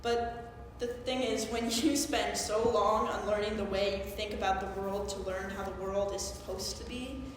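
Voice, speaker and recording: Young woman acting a geeky stage character, earnest, animated, wistful, mid pitch, very roomy live recording. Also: moderate pace, few pauses, close to an everyday voice. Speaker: actor in a staged play reading. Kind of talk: theatrical monologue, explanatory. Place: live staged reading at a conference. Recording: very echoey hall, faint noise.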